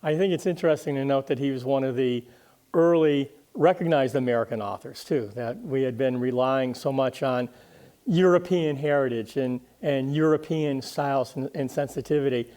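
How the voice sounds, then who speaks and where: An older man speaking conversationally as a guest historian in an interview, thoughtful and halting, often doubling back on words. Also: mid-range voice, mellow.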